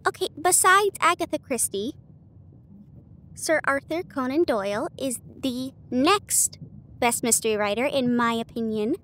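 A woman performing an intimate audio roleplay as an affectionate, bookish partner, chatting casually with hesitant pauses. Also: sits high, expressive, a bit bright, slight background noise. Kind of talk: intimate acted roleplay monologue. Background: ambient train sound effects.

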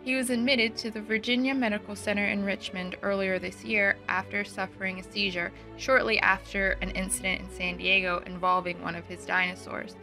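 A woman's voice: mid-range voice, level tone, gravelly, music in the background, lightly acted. A woman playing a serious, formal news reporter in a radio drama. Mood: solemn, formal. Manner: formal, measured. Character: serious female news reporter announcing sombre news.

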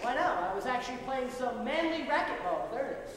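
A man's voice: extremely high pitch, lively intonation, a little fast, a bit bright, recorded from a distance. A young man playing a naive, needy husband and hapless chancellor on stage, with a chirpy, earnest, childlike manner. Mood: proudly eager. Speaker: stage actor. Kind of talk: stage comedy acting. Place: theatre stage with audience. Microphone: distant audience camera. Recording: very echoey, noisy theatre recorded from afar.

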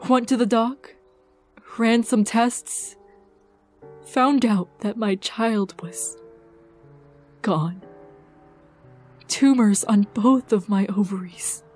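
A woman voicing a grief-worn, sardonic scientist in a fan audio drama, halting, wry and guarded. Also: fairly high pitch, darkish tone, soft background music. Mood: pained confession.

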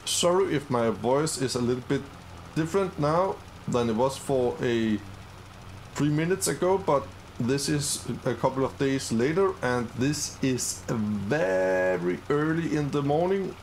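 Upbeat, slow-talking gamer man. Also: mid-range voice, slight background noise. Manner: upbeat, easily excited, many fillers. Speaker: gaming channel host. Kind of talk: casual let's-play commentary.

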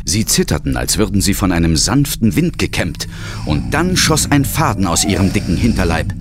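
A man's voice: low voice, sing-song, moderate pace, background noise. An actor as a tense male ghost hunter, narrating his ordeal in the first person with suspense. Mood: hushed suspense. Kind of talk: suspenseful scripted horror narration. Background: loud dramatic music and effects.

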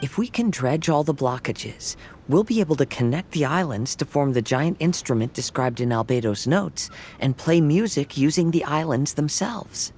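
A man playing a calm, thoughtful young wanderer in a video game. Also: a little high, moderate pace, slight background noise, lightly acted. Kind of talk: scripted video game dialogue.

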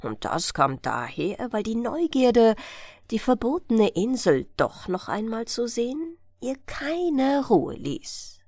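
A woman's voice: fairly high pitch, very animated, slow pace, darkish tone, dry recording. A woman reading a scripted bedtime fairy tale as an audiobook narrator.

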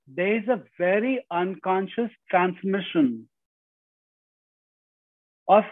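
A man's voice: high-pitched, dry recording. A middle-aged man with an Indian accent, giving an earnest lecture over a video call from home, often saying "you know" and restarting phrases. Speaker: guest speaker. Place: home room, indoors. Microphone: video call with earbuds. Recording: dry, tinny video call audio.

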